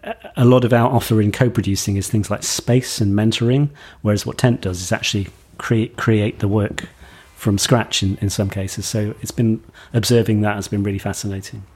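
Guy chatting in an interview, mellow and a bit raspy. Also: fairly low pitch, fairly even intonation, moderate pace. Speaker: circus venue representative, interviewee. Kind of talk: casual interview answers.

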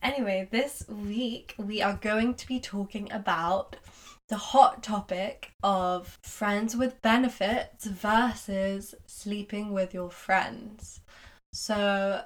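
A woman's voice: medium pitch, fairly even intonation, slow. A young adult woman co-hosting a podcast in casual chat, informal, giggly and frank. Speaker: podcast co-host.

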